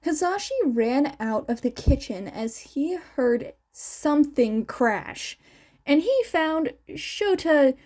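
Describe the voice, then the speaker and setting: High-pitched woman reading a story, slow and lively. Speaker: fan fiction reader. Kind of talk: scripted story narration.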